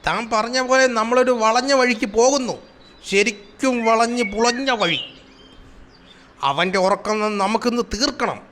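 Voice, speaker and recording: A very high, bright cartoon voice for a crafty jungle animal. He grumbles and plots in an animated, exasperated way, acting out schemes like a comic storyteller. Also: measured pace, slight background noise. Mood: scheming, smug. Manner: animated, exasperated, explains schemes with sound words. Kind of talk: Malayalam children's cartoon comedy acting.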